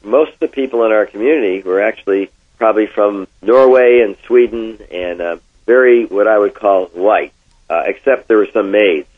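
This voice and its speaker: A man's voice: fairly low pitch, normal speed. An older man from Connecticut with an American accent, reminiscing in an interview and telling anecdotes with emphatic repetition. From Connecticut, United States. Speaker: interviewee, former oil executive. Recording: thin, phone-like band-limited sound.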